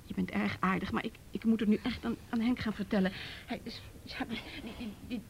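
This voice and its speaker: A woman acting as a distressed, apologetic visitor in a Dutch radio play. Her voice is markedly creaky and she speaks haltingly, with a Netherlands accent, over a faint rumble. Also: steady tone, natural voice. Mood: flustered, apologetic. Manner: hesitant, repeats words, halting.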